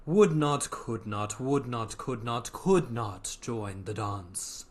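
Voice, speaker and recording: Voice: mid-range voice, slow, lightly acted. A performer voicing a solemn, melancholy old turtle creature in a theatrical storybook reading. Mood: slow, mournful singsong. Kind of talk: theatrical storybook reading with slow sung verse.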